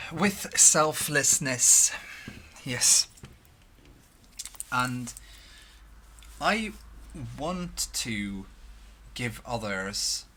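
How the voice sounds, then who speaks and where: A Swedish-accented man in his thirties muses slowly to his webcam, stopping, restarting and filling gaps with "uh" and "um." His voice sits fairly low but has a bright, forward edge, picked up close on a headset mic in a small room with a faint hum behind him. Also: vocal fry. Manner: halting, many restarts and fillers, thinking aloud. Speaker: vlogger. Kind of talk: reflective monologue to camera. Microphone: headset, webcam framing.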